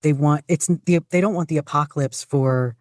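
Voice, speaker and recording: A podcast guest chatting casually, speaking in halting but enthusiastic bursts. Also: mid-range voice, no room sound, clean studio sound. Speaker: podcast guest, fan fiction writer. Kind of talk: casual interview chat.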